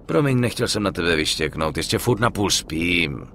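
A man's voice: low voice, expressive, a bit bright, a little hiss or hum. An actor playing a tough, sceptical mobster in his thirties, sardonic and streetwise, in a dubbed crime game. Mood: groggy, wry. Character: tough, sceptical young male mobster hero. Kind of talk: dramatic dubbed video game acting.

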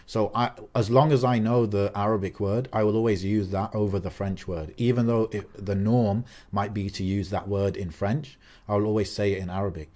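A young man with a somewhat low voice talks through a podcast conversation at length. He rambles casually, full of "like" and "um", restarting his sentences as he goes. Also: fairly even intonation, normal speed, few pauses, warm tone. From Algerian. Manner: talkative, many fillers and restarts. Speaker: podcast co-host.

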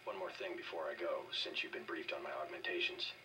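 An actor playing a stoic, dry government agent in a video game, speaking in a deadpan, clipped manner. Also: male voice, a bass voice, a little fast. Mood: matter-of-fact. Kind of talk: video game dialogue acting. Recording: game audio filmed off a TV, distant, hissy.

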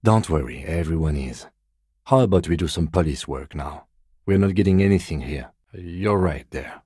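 Low, husky, composed detective. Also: male voice, expressive, dark tone, no room sound, clean studio sound. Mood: businesslike, dry. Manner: measured, formal, restrained, dryly polite. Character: composed, dry, middle-aged male police detective. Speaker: video game voice actor. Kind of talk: dramatic game dialogue, separate voice lines.

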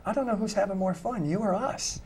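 A man's voice: sits high, a little fast, darkish tone, breathy edge. A middle-aged man, a musician talking conversationally as an interview guest. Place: small TV studio, indoors.

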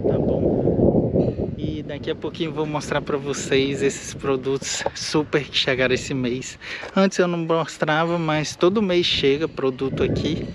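Brazilian man rambling in a noisy hangar. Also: mid-range voice. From Minas Gerais, Brazil. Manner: rambling, with frequent restarts and fillers. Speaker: channel host, eye doctor and pilot. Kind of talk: casual walk-and-talk vlog. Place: airfield hangar.

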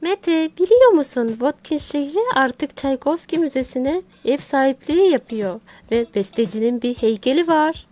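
A woman's voice: sits high, expressive, normal speed, hardly any pauses, a little mellow. A woman voicing a schoolgirl pupil who shares facts with a classmate in a scripted educational cartoon. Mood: informative.

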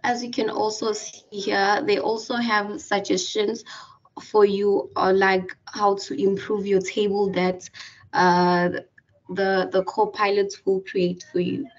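A woman walking through a software tutorial over a screen recording, hesitant with many fillers and restarts. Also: medium pitch, flat delivery, slow pace. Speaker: tutorial presenter. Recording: distant, dull lo-fi mic.

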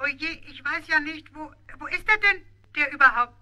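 A man voicing a small, squeaky, officious penguin postmaster, eager and self-important, in a 1970s children's radio play. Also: moderate pace, sharp tone, heavily acted. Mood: flustered, official.

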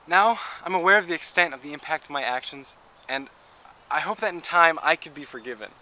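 A man's voice: a little high, animated, fairly quick, pushed and bright, rough recording. A young man in his late teens playing a snarky, defensive hiker in an amateur comedy short. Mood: earnest, deadpan. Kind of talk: amateur comedy sketch acting. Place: outdoors on a woodland trail. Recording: outdoors with faint ambient noise.

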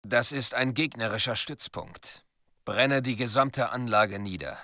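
Game military commander: stern, curt, authoritative, briefing and drilling a soldier. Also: male voice, medium pitch, a little slow, pushed and bright, no room sound. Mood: stern, cold. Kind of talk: video game dialogue, tutorial instruction.

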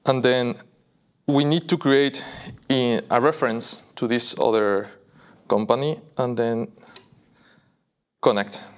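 Young man demonstrating software. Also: mid-range voice. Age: young adult. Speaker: software product presenter. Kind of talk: live software demo walkthrough. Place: indoor video studio.

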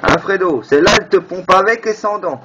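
A man's voice: high voice, fairly quick. A man bantering casually on amateur radio, teasing and crude. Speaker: amateur radio operator, video maker. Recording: muffled, very noisy FM radio chat.

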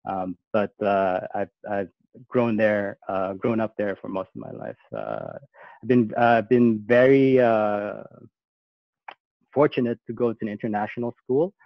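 A man's voice: lowish voice, animated, measured pace, gravelly, dry recording. A man born in Austria, giving a casual talk on a video call, hesitant and full of fillers and restarts. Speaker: guest speaker. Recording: muffled dry video-call audio.

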